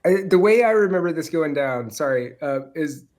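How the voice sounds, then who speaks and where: Self-deprecating man, slightly high voice. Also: expressive, normal speed, darkish tone. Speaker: TV writer guest. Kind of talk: casual panel discussion.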